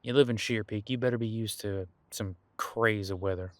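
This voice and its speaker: An easygoing ancient demon detective played by a man talks in a low voice, close and dry. He muses in a folksy, laid-back way, as if chatting with a companion in an audio roleplay. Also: steady tone, normal speed, dry recording, close to an everyday voice. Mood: relaxed, musing. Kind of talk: conversational one-sided audio roleplay.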